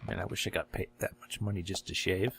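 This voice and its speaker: A man's voice: low-pitched, little pitch movement, fast talker, a bit bright, slight background noise. A man giving casual, off-the-cuff commentary over a video game, muttering and wry.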